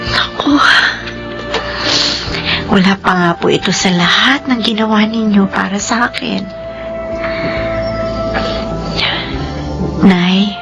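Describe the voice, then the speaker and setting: An actress playing a remorseful adult daughter in a radio drama, pleading haltingly and emotionally. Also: female voice, mid-range voice, lightly acted, poor audio. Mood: tender. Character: remorseful adult daughter, self-blaming, tender toward sick mother. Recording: studio voice over prominent music.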